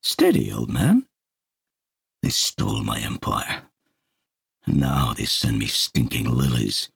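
A man's voice: rumbling low, huge pitch swings, takes it slowly, vocal fry, fairly bright tone, breathy edge, dry recording, clean studio sound, voice pushed down, full character voice. An actor playing a bitter old male tycoon who growls and grumbles. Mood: grumbling. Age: older.

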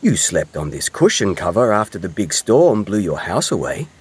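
Man playing a helpful badger, husky mid-to-low voice, steady, reassuring, children's storybook. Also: moderate pace, full character voice. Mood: fond.

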